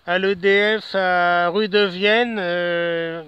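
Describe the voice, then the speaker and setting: A man in his seventies chatting casually over amateur radio with call signs, in a halting, courteous way. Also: sits high, fairly even intonation, a bit bright, clear, resonant tone, dry recording. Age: 70s. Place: outdoors in a city park. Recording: dull lo-fi audio.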